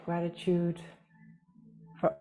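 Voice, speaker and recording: A woman leading a guided meditation as a yoga teacher, speaking gently and soothingly with long pauses, unhurried. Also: on the low side, monotone, narrow, tinny audio.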